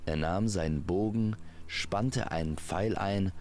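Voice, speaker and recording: A man narrating a scripted fantasy audio story in a measured, lyrical storytelling manner. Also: low-pitched, darkish tone, slight background noise.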